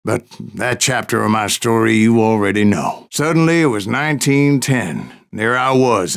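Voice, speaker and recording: A male actor playing a grizzled old Wild West bounty hunter in a video game, with a gravelly American voice and a folksy, wry way of telling his tales. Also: mid-range voice, a bit bright. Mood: brooding. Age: older. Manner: folksy, wry storytelling drawl. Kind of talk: dramatic first-person game narration.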